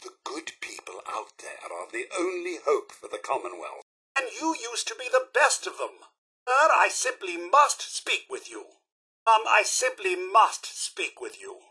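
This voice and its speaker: A British robot butler for a video game, loyal, formal and easily flustered. The voice sits high and leaps dramatically up and down. It has a husky rasp under its theatrical, anxious politeness. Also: male voice, wildly swooping pitch, a little slow, sharp tone, exaggerated voice. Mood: scolding, dismayed. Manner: formal, deferential, theatrically emotive and fretful. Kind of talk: video game character acting.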